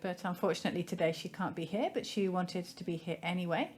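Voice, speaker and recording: A woman giving a simultaneous interpretation of a seminar in a measured, formal way, pausing now and then to find her phrasing. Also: lowish voice, darkish tone. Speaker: conference interpreter.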